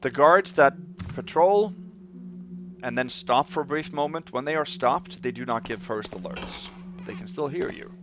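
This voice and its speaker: A man with a Norwegian accent, a native Norwegian speaker, calmly commenting on a stealth game as he plays and reading in-game notes aloud. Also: medium pitch, normal speed, music in the background. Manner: calm, thoughtful, reads notes aloud plainly. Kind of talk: casual gameplay commentary.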